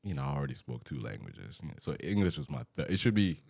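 A young man whose first language is Dinka, from South Sudan, chatting casually on a podcast, laughing often and quick to apologize. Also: a bass voice, very animated, creaky, a little mellow, slightly rough, no room sound, studio quality. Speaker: podcast co-host. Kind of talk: casual chat.

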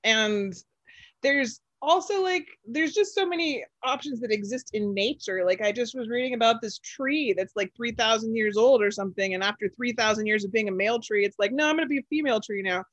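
A woman who calls her own voice low for a woman, talking in an animated, chatty way with plenty of fillers and laughs. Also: a little fast, no echo, dull audio. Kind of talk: casual podcast chat.

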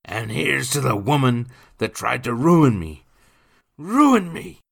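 A spiteful drunk in a storybook, loud and pushed well up in pitch. He slurs and bellows in rough dialect, mocking and belligerent. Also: sing-song, normal speed, heavily acted. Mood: gloating rage. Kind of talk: dramatised audiobook character voice.

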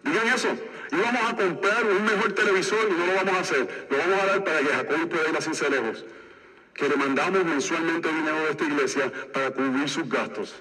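A man's voice: high-pitched, bright, forceful tone. A man preaching a sermon as pastor of a church, with a slight lisp and an emphatic, repetitive way of exhorting the congregation. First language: Spanish. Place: church sanctuary. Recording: echoey hall, voice from far off.

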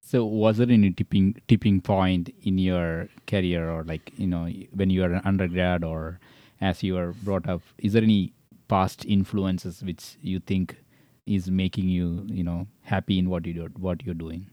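A man with a very deep, warm voice hosts a podcast interview. He asks long, rambling questions, restarting and rephrasing them as he goes, and keeps up encouraging murmurs. The sound is dry and close. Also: little pitch movement, normal speed, no echo. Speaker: podcast host. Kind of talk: interviewing a guest.

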